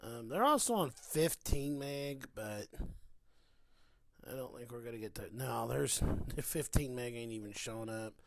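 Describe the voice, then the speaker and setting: A man's voice: mid-range voice, expressive, unhurried, creaky, no echo. A man giving a casual, informal hands-on demonstration as a hobbyist, with folksy phrasing, close to the microphone.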